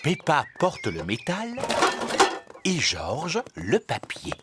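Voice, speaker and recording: A man's voice: fairly high pitch, wildly swooping pitch, takes it slowly, very noisy, exaggerated voice. A man narrating a children's cartoon in simple scripted storytelling style. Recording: cartoon music behind the voice.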